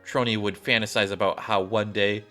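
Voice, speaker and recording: A man's voice: fairly low pitch, level tone, normal speed, pushed and bright, faint music behind. A man reading video game story scenes aloud as dramatic narration with casual asides, sometimes tripping over the wording.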